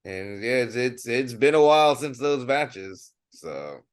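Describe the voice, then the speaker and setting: A man's voice: mid-range voice, sing-song, measured pace, smooth, dry recording, clean studio sound. A young American man chatting casually as a podcast co-host, in a hesitant, agreeable way. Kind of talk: casual chat.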